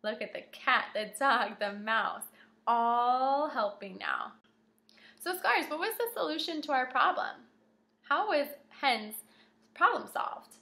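Young woman, kindergarten teacher, high bright voice, encouraging, deliberate articulation, read-aloud lesson, echoey room. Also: expressive, vocal fry. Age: young adult. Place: small room at home, indoors. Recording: roomy, echoing home video.

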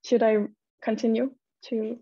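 A young adult woman, a Danish native speaker, talking hesitantly with many fillers and reading poems slowly on a video call. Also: a little high, flat delivery, dark tone, breathy edge, dry recording. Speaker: poet and model, panelist. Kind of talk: panel talk and poetry reading. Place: home room, video call. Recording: dull lo-fi video call audio.

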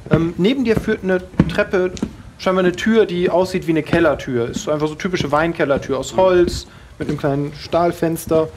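A man's voice: on the high side, normal speed, background noise. A man narrating a tabletop role-playing game as game master.

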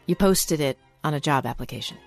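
A woman's voice: deep voice, a little fast, faint music behind, pitched below the performer's own voice. A woman voicing a tense, pointed female job interviewer in a story. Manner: clipped, pointed.

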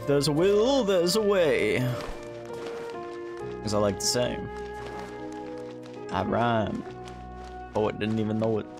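Slow-talking man streaming over game music. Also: mid-range voice, expressive. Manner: sweary, wisecracking. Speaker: streamer playing a video game. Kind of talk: casual livestream gameplay commentary.

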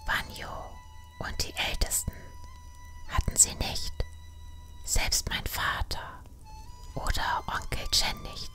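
A woman playing a determined, adventurous young female pandaren in a hushed, often whispering voice. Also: very high voice, flat delivery, slow, long pauses, soft background music, exaggerated voice. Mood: awed resolve.

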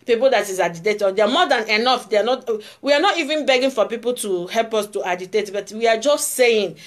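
Brief: Igbo-accented Nigerian woman, 40s, phone vlog, insistent repetition, slightly high voice. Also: fast talker. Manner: emphatic, repeats phrases, gestures while talking. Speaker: vlogger. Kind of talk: casual political vlog monologue. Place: indoor room before hung flags. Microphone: phone camera close up.

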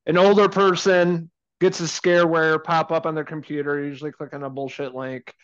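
A man's voice: fairly high pitch, moderate pace, clean tone. Chatty man on a dull lo-fi call. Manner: relaxed, chatty, laughs easily. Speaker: movie review co-host. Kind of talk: casual film review chat. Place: home room indoors. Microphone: video call webcam.

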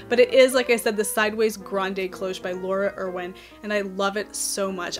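A woman's voice: mid-range voice, a bit bright. A woman in her thirties hosting a knitting podcast, chatting casually to the camera at home, a little nasal from a cold, with lots of ums and light laughs. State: has a cold, slightly nasal. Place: cozy home office with string lights.

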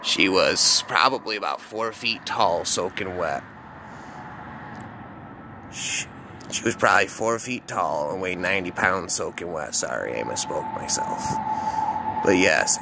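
A man telling a dark first-person story in a casual, rambling way, often adding asides and calling the listener "man". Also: low-pitched, expressive, measured pace, noisy background.

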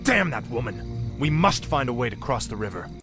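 A zealous, animated warrior prince. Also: male voice, mid-range voice, moderate pace, loud music behind the voice. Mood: commanding. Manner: intense, commanding. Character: zealous young warrior prince, stern and vengeful.

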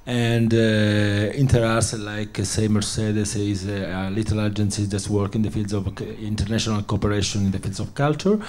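A man introducing himself as a foundation manager, halting with many fillers, speaking into a handheld microphone in a large hall. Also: lowish voice, fairly even intonation, darkish tone, a little hiss or hum. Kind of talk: conference self-introduction.

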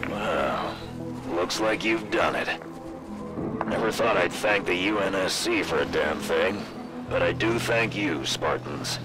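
A deep-voiced artificial intelligence who governs a frontier colony in a sci-fi game. He is gruff and folksy, with proud authority. Loud music plays behind him. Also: lively intonation, slow, poor audio. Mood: gruff, wary.

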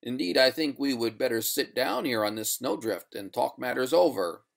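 A narrator playing a firm, coolly courteous gentleman in a storybook, formal and measured, with a quiet gloat. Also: medium pitch, animated, vocal fry.

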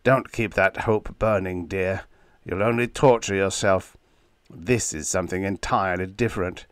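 A man voicing a gruff, irascible old male spaceship captain who blusters and roars. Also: sits low, steady tone, moderate pace, no echo, lightly acted. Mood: stern.

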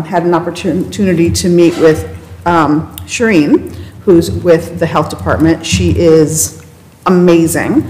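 A woman's voice: on the low side, a little mellow. A woman chairing a community board meeting, casual and upbeat with many fillers, speaking into a table mic. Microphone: table microphone. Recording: echoey room with noise.

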